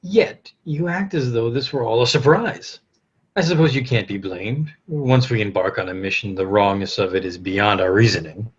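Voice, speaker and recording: A man's voice: lowish voice, moderate pace, natural voice. A man playing a scornful, threatening medieval trial interrogator in a dramatic script read. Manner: scornful, forceful. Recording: dull, lo-fi video call audio.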